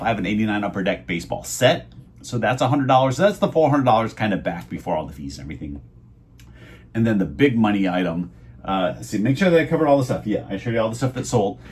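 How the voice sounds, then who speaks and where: Chatty man, voice going hoarse. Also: mid-range voice. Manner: chatty, meandering, lots of "uh" fillers. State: losing his voice. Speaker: eBay reseller vlogger. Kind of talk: casual rambling vlog talk.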